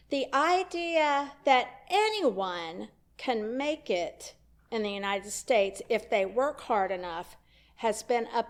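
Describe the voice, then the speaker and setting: A woman minister giving a sermon in a church, telling a story in a conversational way with wry asides. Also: on the high side. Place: church sanctuary.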